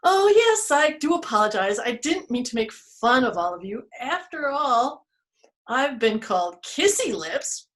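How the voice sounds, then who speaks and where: A woman's voice: big pitch swings, a little slow. A woman voicing a chatty, joke-loving talking birch tree in a children's story. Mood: apologetic. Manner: bubbly, high and bright, giggly puns.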